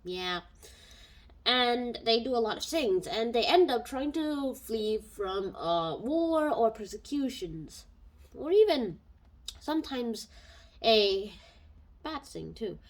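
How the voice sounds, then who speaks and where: A woman's voice: fairly high pitch, animated, slow. A woman giving a casual spoken book review into a home microphone, rambling and thinking aloud.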